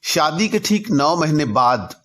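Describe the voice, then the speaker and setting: A man narrating a personal story in a deliberate way, in short halting phrases. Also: fairly high pitch, big pitch swings, fairly bright tone. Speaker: narrator telling his own anecdotes.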